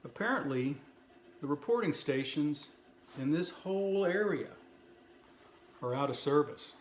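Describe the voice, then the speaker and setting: A man's voice: on the high side, expressive, measured pace. Man in a roomy, echoing space. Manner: plainspoken, folksy. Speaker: host of a prepper video channel. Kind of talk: casual product review talk to viewers. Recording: roomy echo, light background noise.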